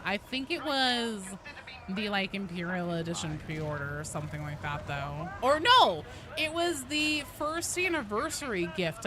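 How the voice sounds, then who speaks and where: A woman hosting a game livestream, chatting casually and answering viewers in an animated, playful, conversational way. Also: on the low side.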